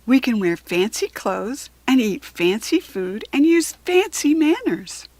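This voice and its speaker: A woman's voice: fairly high pitch, big pitch swings, a little slow, darkish tone, dry recording. A woman voicing a cheerful little girl who adores fancy things in a children's storybook. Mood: excited, eager.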